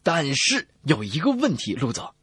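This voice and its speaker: A man's voice: on the high side, huge pitch swings, normal speed, fairly bright tone, breathy edge, dry recording, studio quality. A man co-hosting a scripted comedy radio show, bantering and telling jokes in a playful, theatrical way.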